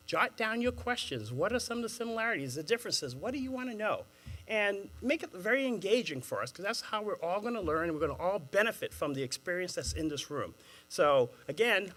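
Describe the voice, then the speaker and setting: A man with a Boston accent moderating a panel, chatting with a live audience in an animated way and laughing at his own jokes. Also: sits high, low-quality recording. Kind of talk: engaging address to a live audience.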